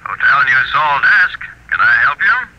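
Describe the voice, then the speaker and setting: A man's voice: fairly high pitch, sing-song, a little slow. An actor playing a terse, impassive man on the telephone in an old-time radio drama. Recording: muffled, noisy old radio telephone line.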